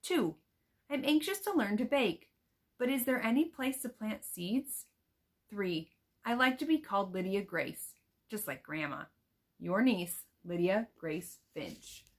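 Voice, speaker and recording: Woman reading as an eager young letter-writing girl, expressive. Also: medium pitch, a little slow. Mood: shy, hopeful. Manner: expressive, careful storybook reading.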